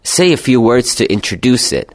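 A man's voice: medium pitch, very animated, normal speed. A man working as a radio interviewer, asking polite, thoughtful, wordy questions in a conversational style. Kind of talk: conversational film festival interview.

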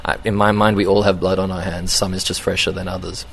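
A man's voice: deep voice, level tone, quick pace, creaky, a little hiss or hum. A man, a film director, answering interview questions in a thoughtful, hesitant way with many ums and restarts. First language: English. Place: event venue.

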